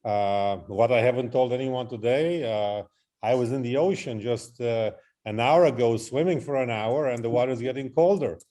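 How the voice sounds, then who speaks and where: A middle-aged man speaks as an interview guest. His voice sits at an ordinary male pitch, and he talks in a halting, reflective manner, often building his points as lists. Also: mellow. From Romania. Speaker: interview guest, artist and photographer. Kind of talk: interview conversation.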